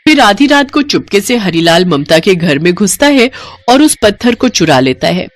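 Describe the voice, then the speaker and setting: A woman's voice: medium pitch, sing-song, normal speed, no echo. A woman reads a scripted story as narrator. Her voice sits in a middle register, in the style of a moral tale for listeners.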